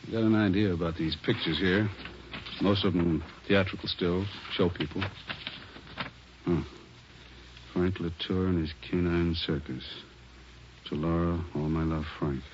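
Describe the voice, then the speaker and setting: A brisk, authoritative detective chief gives terse direction in a very low voice. The vintage radio recording is dull and clearly noisy. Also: male voice, steady tone. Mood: brisk, commanding. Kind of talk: 1940s radio acting.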